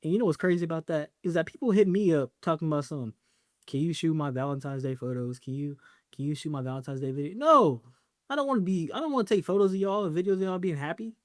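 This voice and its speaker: A man's voice: a little high, lively intonation, quick pace, no echo, studio quality. A young man hosting his own podcast, talking casually in a loose, joking way with lots of slang and fillers. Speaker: podcast host. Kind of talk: casual solo podcast talk.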